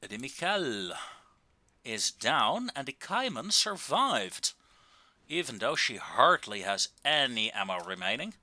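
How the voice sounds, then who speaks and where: A man giving casual, wry and understated commentary on a video game, with drawn-out pauses, into a close microphone. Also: medium pitch, sing-song, bright, forceful tone, no room sound.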